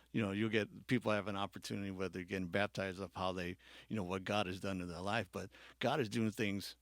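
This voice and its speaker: Low, gravelly man chatting casually. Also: no room sound, studio quality. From Hawaii. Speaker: podcast guest, church worship director. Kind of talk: casual personal storytelling, chat.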